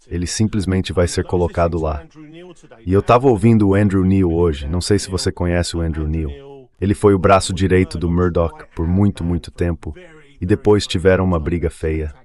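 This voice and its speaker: A man's voice: low-pitched, a little mellow. A man speaking as an interview guest, commenting on politics in a conversational, emphatic way that leans on repetition.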